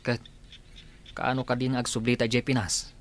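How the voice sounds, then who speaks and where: A man's voice: medium pitch, little pitch movement, moderate pace, slight background noise, natural voice. A man playing a friendly male co-worker in a radio drama, talking in an easygoing, joking way. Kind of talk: amateur radio drama acting.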